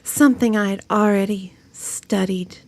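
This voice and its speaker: A woman reading a novel aloud in a drawn-out, melodramatic way. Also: medium pitch, steady tone, a little mellow. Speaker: story reader.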